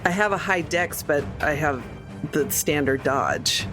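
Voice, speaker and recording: A woman's voice: sits low, expressive, measured pace. A woman chatting casually as a player in a tabletop roleplaying game. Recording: clear music behind the voice.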